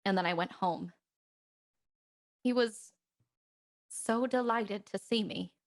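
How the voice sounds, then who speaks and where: A woman's voice: mid-range voice, slow pace, close to an everyday voice. Lovelorn, candid Victorian woman played by a woman, middle register, audio mystery drama. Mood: wistful confession.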